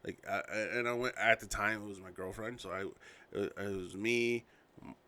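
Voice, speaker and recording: A man's voice: lowish voice, fairly even intonation, normal speed, pushed and bright. A man in his thirties hosting a casual solo podcast, a father reminiscing in a rambling, self-correcting way. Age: 30s.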